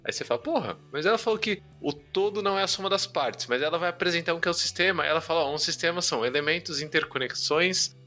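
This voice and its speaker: A man's voice: on the high side, quick pace, few pauses, sharp tone. A man with a Brazilian accent hosting a podcast in an informal, slangy, chatty way. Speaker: podcast host. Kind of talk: casual chat. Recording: music behind the voice.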